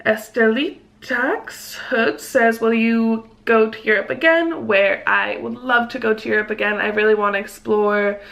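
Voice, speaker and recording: A woman's voice: a little high, steady tone, unhurried, vocal fry, hardly any pauses, fairly bright tone. A young woman from Mexico chatting casually in a vlog, reading out viewer questions and answering them, with lots of "like" fillers. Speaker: vlogger.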